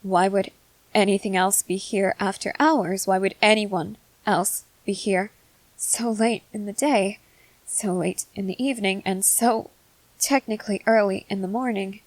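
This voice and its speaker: A woman's voice: medium pitch, steady tone, a little slow, no echo, natural voice, rough recording. A woman playing a proud, nervous but defiant schoolgirl facing a monster in an ASMR roleplay, speaking formally and wordily with hesitant stammers. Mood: uneasy disbelief. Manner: formal wordy phrasing, hesitant stammers and repetitions. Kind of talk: scripted ASMR roleplay, addressing the listener.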